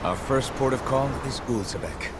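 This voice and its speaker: A man's voice: mid-range voice, moderate pace, very noisy, natural voice. An actor playing a determined, plain-spoken young swordsman hero in a video game, with music behind him. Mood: hopeful.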